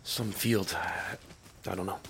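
An actor playing a weary, determined military veteran with failing implants in an audio drama, gritty and urgent. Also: male voice, low voice, steady tone, slow pace, vocal fry, noisy background, heavily acted. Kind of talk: cinematic audio drama acting with noir narration.

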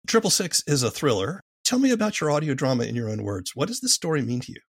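A man's voice: fairly high pitch, very animated, fast, vocal fry, breathy edge, no room sound, clean studio sound. A man hosting a conversational interview, asking questions and commenting as the interviewer. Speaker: interviewer, host.

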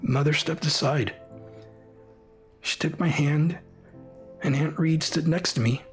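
A grown son played by a man tells of his past in an audio drama, in a fairly high male voice with faint music behind. His telling is measured and full of feeling. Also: fairly even intonation. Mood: reflective. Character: grieving adult son recounting a formative childhood memory.

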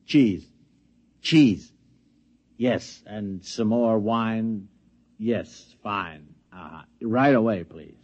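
A man's voice: lowish voice, drawn-out words, darkish tone. An actor playing an affable, placating man in a 1950s radio drama, coaxing and a little hesitant. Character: placating, affable male escort to a talking mouse. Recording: dull 1950s radio recording.

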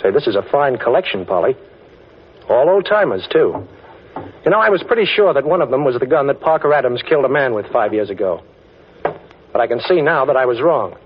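An actor playing a quick-witted, confident amateur detective in a 1940s radio drama, breezy and wry, on a dull old recording. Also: male voice, mid-range voice, animated, slight background noise, close to an everyday voice. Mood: excited, reasoning.

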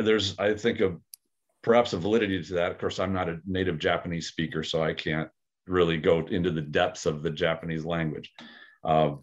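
Deep-voiced man, hesitant and thoughtful. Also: highs cut off. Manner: thoughtful, hesitant, many fillers and restarts. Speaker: aikido practitioner, podcast co-host. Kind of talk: casual podcast discussion.

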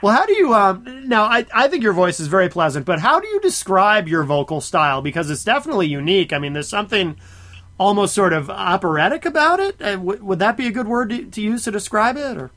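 A man's voice: high voice, sing-song, fast, sharp tone. A man hosting a radio show, interviewing a guest in a casual, chatty style.

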